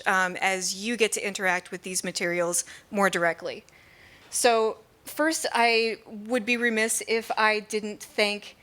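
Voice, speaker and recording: A woman's voice: medium pitch, sharp tone. A woman in her thirties with an American accent, giving an informal, self-effacing presentation as a librarian at a stage microphone. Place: indoor stage at a library event. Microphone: microphone on a stand.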